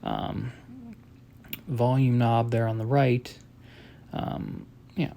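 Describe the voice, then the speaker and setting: A man demonstrates a product in an informal, step-by-step tutorial. His mid-pitched voice has plenty of vocal fry, and he explains things in a halting, matter-of-fact manner. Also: level tone, dark tone, slight background noise.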